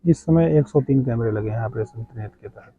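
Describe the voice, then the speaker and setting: A man's voice: mid-range voice, a little fast, husky, no room sound, phone-quality sound. A man answering interview questions as a village head.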